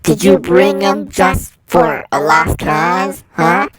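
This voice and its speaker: A woman's voice: on the low side, slow, gravelly, a loud crowd. A woman voicing a cocky, taunting chaos monster spoiling for a fight in an amateur action drama. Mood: mocking. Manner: taunting, challenging.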